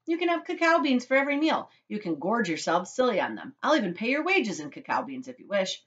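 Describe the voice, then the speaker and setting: A woman voicing an eccentric, excitable man who owns a chocolate factory, telling things theatrically and emphatically in a children's storybook. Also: mid-range voice, quick pace, dull audio, pitched above the performer's own voice. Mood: enthusiastic.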